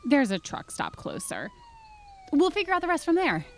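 A woman acting a wry, practical, steady companion in a science fiction audio drama. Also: very high voice, normal speed, a little hiss or hum, full character voice. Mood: dry, practical.

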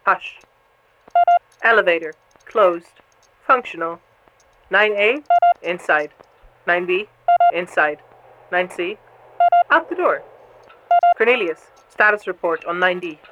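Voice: medium pitch, animated, takes it slowly, pauses often. A performer voicing a watchful surveillance camera in an audio drama, narrating in clipped, terse fragments. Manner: clipped, terse, observational phrases. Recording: lo-fi, very noisy intercom-like sound.

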